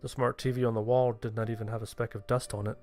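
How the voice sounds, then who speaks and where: A man's voice: mid-range voice, little pitch movement, fast, a little mellow, soft background music. A man reading a scripted first-person horror story as its narrator.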